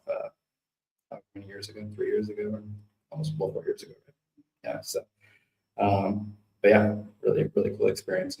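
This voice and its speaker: A man with a low, gravelly voice talks through a community update in an informal, conversational way. His delivery meanders like casual chat. The sound carries a clear roomy echo. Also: warm tone. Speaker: community town hall co-presenter. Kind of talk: casual presentation recap.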